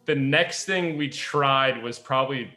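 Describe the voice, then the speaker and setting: Bright-voiced man chatting on a podcast. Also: mid-range voice, unhurried, soft background music. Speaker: podcast host. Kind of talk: casual talkback podcast chat.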